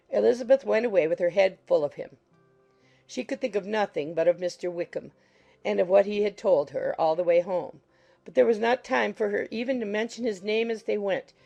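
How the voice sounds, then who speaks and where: Creaky-voiced woman narrator. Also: mid-range voice, darkish tone. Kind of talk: scripted literary narration.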